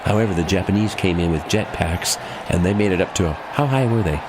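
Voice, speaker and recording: A man's voice: low voice, fairly quick, noisy background, natural voice. A man playing a sports commentator in a parody radio broadcast. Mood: matter-of-fact. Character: male sports commentator at absurd Olympic event.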